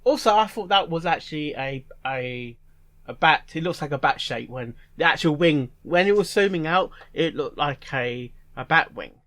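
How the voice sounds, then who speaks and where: A man giving casual reaction commentary in a halting way, repeating phrases and musing aloud in questions. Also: fairly high pitch, animated, a bit bright. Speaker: reaction video host.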